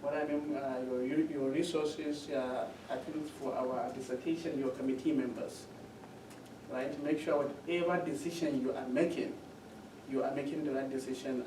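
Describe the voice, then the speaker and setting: A man on a university panel giving measured advice, pausing often with fillers. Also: on the high side. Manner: measured, with frequent pauses and fillers. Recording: echoey room from far off, with noise.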